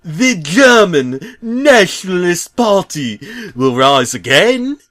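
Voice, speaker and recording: A man voicing a cheerful cartoon builder turned raving fanatic, with a put-on British accent, loud and drawn-out. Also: on the high side, sing-song, pitched above the performer's own voice, heavily acted. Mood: ranting.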